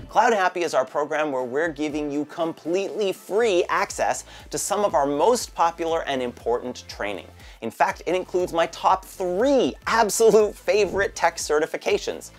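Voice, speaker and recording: Man, 30s, tech news host, animated intonation, wry asides, faint music behind. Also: a little high. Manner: lively, lightly joking delivery. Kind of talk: scripted tech news presenting. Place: bright home office studio.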